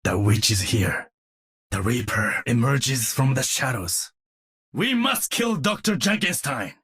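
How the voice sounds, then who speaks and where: A man playing a solemn, vigilant warrior defending a gate in a video game, with a husky voice and a formal, commanding, dramatic delivery. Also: medium pitch, sing-song, slow, fairly bright tone, dry recording, studio quality, full character voice. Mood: solemn, grave.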